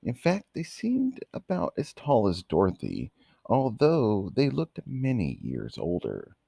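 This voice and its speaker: A man with a gravelly, husky voice reading a children's bedtime story aloud in an expressive, dramatic manner. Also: mid-range voice, slow, dry recording, clean studio sound.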